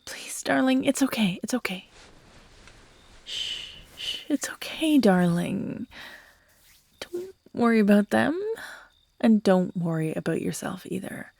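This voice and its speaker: Breathy, unhinged yandere girlfriend, acted by a woman. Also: mid-range voice, lively intonation, darkish tone, dry recording, heavily acted. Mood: panicked soothing. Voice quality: breathy, often dropping into whispers. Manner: slow, halting, cooing, broken by giggles and sighs. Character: obsessive, unhinged yandere woman, tender then menacing to her captive. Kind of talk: intimate ASMR audio roleplay acting.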